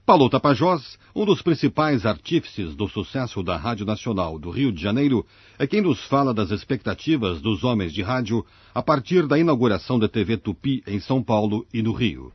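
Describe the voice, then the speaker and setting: A man's voice: medium pitch, slightly rough, no echo. A man with a Brazilian accent narrating a scripted radio documentary. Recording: dull, dry shortwave-era radio recording.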